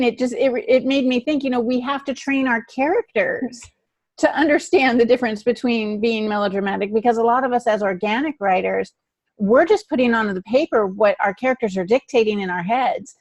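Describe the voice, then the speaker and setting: A woman's voice: fairly high pitch, brisk, darkish tone, no echo. A middle-aged woman co-hosting a casual podcast, talking in a conversational, storytelling way. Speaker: podcast co-host and novelist. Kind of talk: casual panel discussion with anecdotes.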